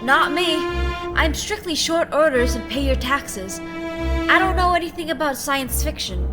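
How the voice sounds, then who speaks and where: A woman's voice: sits high, lively intonation, a little slow, music in the background. A young woman playing a folksy diner counter worker in a school radio play. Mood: dismissive. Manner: chatty, folksy.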